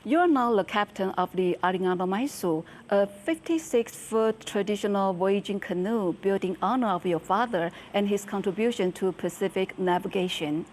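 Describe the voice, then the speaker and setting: A woman interview host speaking at a middle pitch, asking a prepared question in a measured, clear way. A faint low rumble runs underneath her voice. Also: a little mellow.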